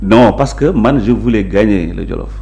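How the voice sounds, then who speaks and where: A man's voice: lowish voice, animated, moderate pace, gravelly, warm tone. A man with a Senegalese accent, a guest in a conversational interview, explaining his positions in an assertive, emphatic way. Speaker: interview guest, local politician. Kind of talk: conversational interview, mixing Wolof and French.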